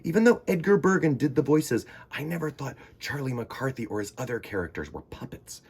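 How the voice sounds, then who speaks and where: A man's voice: mid-range voice, lively intonation, a little fast, darkish tone, slightly rough. A man reading a children's picture book aloud expressively, with dramatic delivery and conversational asides. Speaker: storytime host reading aloud.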